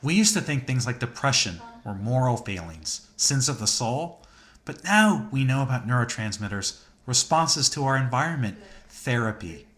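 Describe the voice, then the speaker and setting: An actor playing a skeptical, opinionated atheist humanist in a video game, with a casual, wry and naturalistic delivery. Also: male voice, mid-range voice, sing-song, normal speed, a bit bright. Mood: earnest conviction. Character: skeptical, opinionated atheist man doing humanist charity work.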